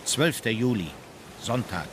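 An actor as a measured, critical imperial courtier. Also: male voice, mid-range voice, lively intonation, background noise, natural voice. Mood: disapproving. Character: critical, disapproving man of the Kaiser's entourage. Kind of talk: dramatised memoir reading.

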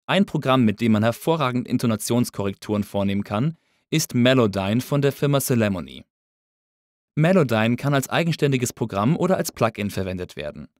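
A man narrating a scripted audio engineering tutorial in a clear, orderly, explanatory way. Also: medium pitch, animated, brisk, darkish tone, dry recording, studio quality. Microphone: close studio voice-over mic.

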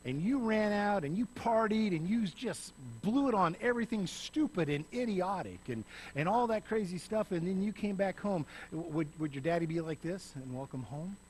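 A middle-aged man preaching on a church stage, swinging between loud emphasis and soft, hushed passages. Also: sits high, lively intonation, normal speed, few pauses, a little hiss or hum, rough recording. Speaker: pastor. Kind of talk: sermon. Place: church stage, in front of a lit backdrop.

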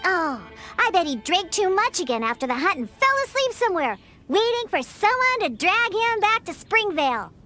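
Very high-pitched sassy little cat girl. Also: big pitch swings, normal speed, few pauses, pushed and bright, exaggerated voice. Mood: suspicious, huffy. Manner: huffy, haughty, emphatic delivery. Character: sassy, grumpy cat-eared little girl bartender who scorns drunks. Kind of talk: scripted video game dialogue. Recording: music behind. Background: background game music.

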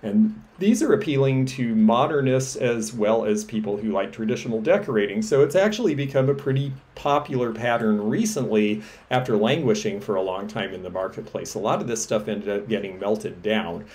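A man's voice: on the low side, normal speed, few pauses, darkish tone. A middle-aged man, an antiques dealer, chatting enthusiastically as he shows vintage pieces for sale to a camera indoors. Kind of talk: show-and-tell selling vlog. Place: indoors against a textured wall.

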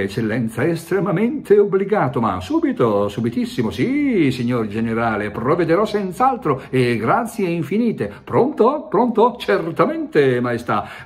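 A man's voice: on the high side, pitched above the performer's own voice. A man reading a story aloud, voicing a harried middle-aged businessman who grovels to important callers. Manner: fawning and obsequious on the phone.

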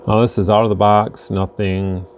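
A man's voice: fairly low pitch, little pitch movement, normal speed, darkish tone. A man giving a casual, unscripted hands-on product demonstration at an indoor workbench, talking as he goes. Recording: noticeable background noise.

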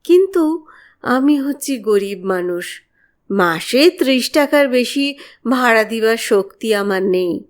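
A woman's voice: on the high side, expressive, slow. A woman voicing a meek, eager man in an agreeable, pleading manner for an audio story. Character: meek, eager male landlord and tenant.

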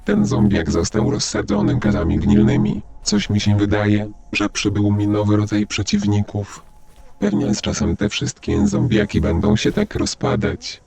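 A man's voice: lowish voice, moderate pace, creaky, darkish tone, husky. A man narrating a video game as a story, speaking as the player character.

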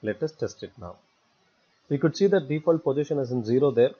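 Male tutorial instructor, husky voice, mid pitch, halting delivery, step-by-step narration, dull muffled recording. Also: lively intonation. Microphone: voice-over recorded for screen capture.

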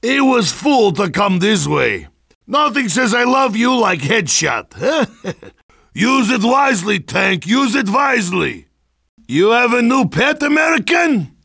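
An actor playing a hard-drinking, boisterous Russian soldier in a video game, gruff and sardonic, with a Russian accent and mocking broken English. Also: male voice, high voice, sing-song, slow, pushed and bright, no room sound, studio quality, exaggerated voice. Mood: teasing, proud. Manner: blustering, mocking, broken English with dropped articles. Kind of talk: video game character barks.

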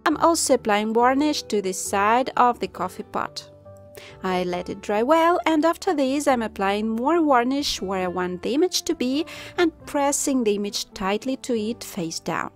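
A woman with a somewhat high-pitched voice explains a home craft project in a calm, instructive voice-over. Soft music plays faintly underneath. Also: moderate pace. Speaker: craft channel host. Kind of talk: step-by-step voice-over narration. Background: soft background music.

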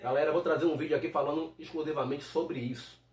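A man hosts a casual vlog. His voice is slightly high, and it sounds roomy, picked up a little way from the mic. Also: fairly even intonation, quick pace, darkish tone. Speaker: channel host. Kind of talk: casual vlog introduction to camera. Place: small home room with computer, indoors. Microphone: camera a short distance away. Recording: roomy, slightly distant microphone.

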